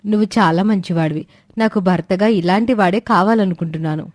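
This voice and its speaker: Man putting on a high young woman's voice, forward, playful, storybook cousin. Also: a little fast, dry recording, clean studio sound, close to an everyday voice.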